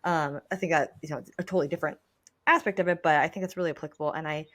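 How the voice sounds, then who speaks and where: Lower-pitched woman podcaster, casual and candid. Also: fairly even intonation, very fast, fairly bright tone. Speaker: podcast co-host. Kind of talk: casual chat.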